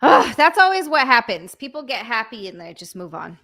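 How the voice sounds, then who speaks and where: A woman's voice: fairly high pitch, big pitch swings, moderate pace, creaky, pushed and bright. A woman co-hosting a livestream, laid-back and teasing, sounding worn out. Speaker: co-host. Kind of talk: casual livestream chat.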